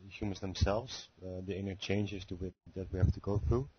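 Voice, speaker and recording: A male caller on a conference call, hesitantly working out a question with many pauses and rephrasings. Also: low-pitched, steady tone, slight background noise. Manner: hesitant, searching for words, many fillers. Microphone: phone line. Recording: muffled phone-call audio.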